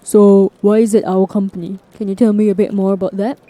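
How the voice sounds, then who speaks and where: A woman's voice: medium pitch, little pitch movement, fairly quick, dark tone, lightly acted. A woman playing a polite, formal job interviewer in a scripted language-lesson role-play.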